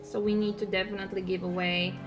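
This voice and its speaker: A woman's voice: medium pitch, little pitch movement, fast talker, music in the background. A young woman from Croatia with a Croatian accent, giving upbeat, chatty commentary on her own mobile gameplay from a desk at home. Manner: upbeat, chatty, hums along while playing. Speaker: gaming streamer.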